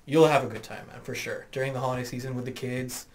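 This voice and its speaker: A man's voice: medium pitch, steady tone, quick pace, a little mellow, slight background noise. A man co-hosting a podcast in a small studio, describing things with enthusiasm. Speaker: podcast co-host. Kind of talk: casual chat. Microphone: studio mic on boom arm.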